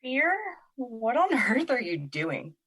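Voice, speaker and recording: A woman's voice: a little high, big pitch swings, slow pace, fairly bright tone, full character voice. A woman playing a confused, weary young woman in an emotional audio drama, questioning and emotionally exposed. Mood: puzzled. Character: Olivia, confused, weary, vulnerable young woman finding courage.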